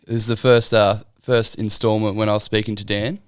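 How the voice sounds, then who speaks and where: Low-voiced New podcast host. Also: male voice, level tone, fairly quick, smooth, no room sound, studio quality. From New Zealand. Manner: chatty, jokey, asks rambling questions. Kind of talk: casual podcast interview. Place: podcast room.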